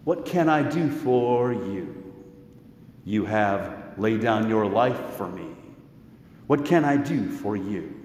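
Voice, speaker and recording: A middle-aged or older American man preaching as a pastor, measured and deliberate, with pauses and rhetorical questions. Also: medium pitch, darkish tone. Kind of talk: sermon. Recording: echoey church hall with long reverb.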